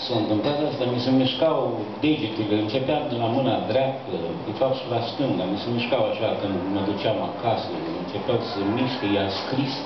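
An older man and poet telling stories at a reading, slow and halting, with many pauses and restarts, recorded through a handheld mic from a distant camera in a small cafe. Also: mid-range voice. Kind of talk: reflective storytelling at a reading. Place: small cafe room, indoors. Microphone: handheld mic, recorded by a far camera. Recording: distant echoey room, dull lo-fi, audible hiss.

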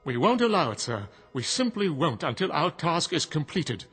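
An actor playing a formal, logical robot servant in a radio drama, precise and polite. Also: male voice, fairly high pitch, very animated, normal speed, a bit bright, breathy edge, soft background music, exaggerated voice. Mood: defiant.

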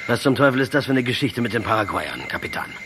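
An actor in a radio play playing a captured Marxist guerrilla commander, defiant, ironic and intellectual, speaking emphatically. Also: male voice, mid-range voice, expressive, quick pace, noisy background, close to an everyday voice. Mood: angry, tense. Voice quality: husky. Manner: sardonic, emphatic, declamatory.

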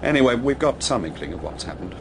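An actor with a British accent playing a courteous, matter-of-fact army lieutenant in a radio drama. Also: male voice, medium pitch, big pitch swings, quick pace, vocal fry. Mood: grave, factual. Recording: noisy outdoor ambience with vehicles.

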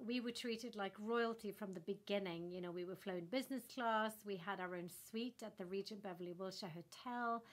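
Norwegian-born actress guest in her 30s, eager and gesturing, webcam call from home. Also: medium pitch. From Norway. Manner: enthusiastic, animated with hand gestures. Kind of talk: remote interview answers. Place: home room in front of a floral wall. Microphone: laptop webcam on a video call.

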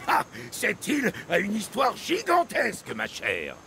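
Excitable old seafarer, theatrical game storyteller, higher-pitched and gravelly. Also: male voice, unhurried, a little hiss or hum, exaggerated voice. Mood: animated, grave. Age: older. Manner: theatrical, expansive. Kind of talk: dramatic video game acting.

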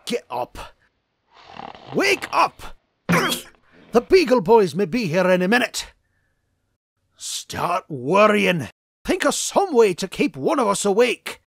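A man's voice: sits high, very animated, slow, gravelly, pauses often, no echo, studio quality, heavily acted. A man voicing a cranky, miserly old male duck in a cartoon comic dub, scolding in fussy, old-timey exclamations. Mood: weary, grumbling.

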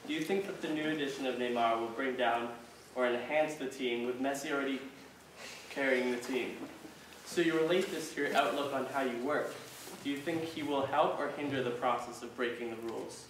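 A man in the audience asks a long, apologetic question, heard from far off. The hall rings with heavy echo, and a clear background hiss sits under his mid-pitched voice. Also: brisk. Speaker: audience member asking a question. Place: large lecture hall, off-mic in the audience. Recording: distant, very echoey hall with noise.

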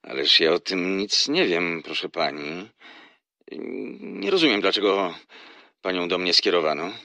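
An actor playing a courteous, reserved, easily wounded gentleman in an audio drama, speaking in a polite, measured way. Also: low-pitched, big pitch swings, dry recording, exaggerated voice. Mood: polite, amused. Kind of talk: audiobook radio drama acting.

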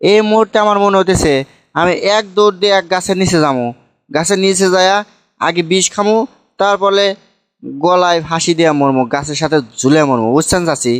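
A man's voice: high voice, fairly quick, smooth, lightly acted. A man voicing an exasperated younger village man humouring his aunt in a comic cartoon, mock-deferential, with a northern Bangladeshi Bogra accent. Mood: sarcastic agreement.

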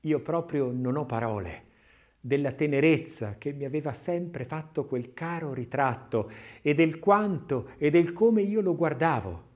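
A man narrating a scripted audiobook in an expressive, literary, dramatic reading style. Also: fairly high pitch, muffled recording.

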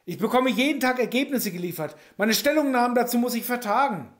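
Guy acting a meek doctor in an audio drama, high voice, sounds deferential. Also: lively intonation, fast, fairly bright tone. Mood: worried. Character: male doctor, deferential, feigning naive helplessness.

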